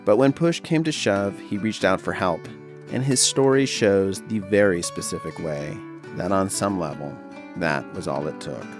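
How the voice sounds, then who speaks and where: Low-voiced reflective male podcast host. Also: normal speed, over music. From Long Island, New York. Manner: reflective, hesitant, stammers when talking about himself. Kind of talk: scripted intro and casual interview.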